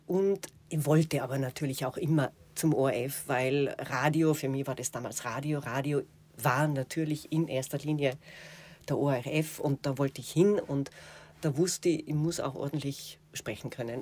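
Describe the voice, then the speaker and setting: A woman with an Austrian accent, a radio and television presenter, answering interview questions in a thoughtful way with many pauses and fillers. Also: sits low, a little mellow.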